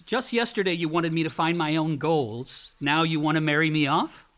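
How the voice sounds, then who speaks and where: A man playing a young adult son in a radio drama. He talks in a slangy, sardonic, easily exasperated way, pitched a little high for a man. Faint birdsong and insects sit behind him. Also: normal speed, fairly bright tone, a little hiss or hum, close to an everyday voice. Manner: casual, slangy, profane. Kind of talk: naturalistic radio play acting.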